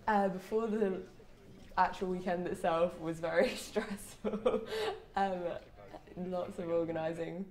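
A woman's voice: fairly low pitch, a little mellow. A young adult woman giving a documentary interview as a student event organiser. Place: small lakeside room with large windows. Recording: roomy, noisy on-location sound.

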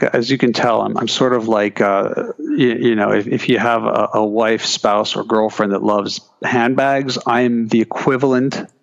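A man's voice: medium pitch, creaky, highs cut off. A man talking casually as an interview guest, chatty with frequent restarts and fillers. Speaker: guest, keynote speaker.

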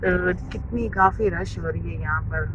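A woman's voice: fairly low pitch, moderate pace, highs cut off. A woman with a Pakistani Urdu accent, chatting casually to viewers as she films from a car. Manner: chatty, showing viewers around. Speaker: vlogger. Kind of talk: casual vlog narration. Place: inside a car in heavy rain. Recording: loud rain and road noise.

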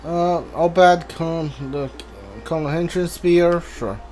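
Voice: mid-range voice, steady tone, slow pace, darkish tone. A video game streamer giving casual playthrough commentary, muttering to themselves while thinking aloud. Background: game music.

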